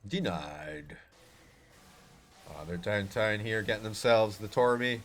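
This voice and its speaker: A Scottish man casting a live video game match on his own, talking to chat between fights and getting loud and excited in the action. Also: on the low side, takes it slowly, a little hiss or hum. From Scotland. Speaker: esports caster. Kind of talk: solo live game commentary with chat asides.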